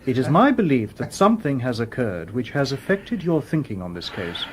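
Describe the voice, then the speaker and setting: An actor in a radio drama playing a calm, coolly logical humanoid robot detective with formal, precise speech. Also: male voice, mid-range voice, moderate pace, slight background noise, natural voice. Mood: calm, probing.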